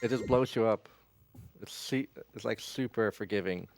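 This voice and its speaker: A man's voice: fairly low pitch, moderate pace, creaky. A man giving casual live speedrun commentary at a gaming marathon as he plays. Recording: game music behind the voice.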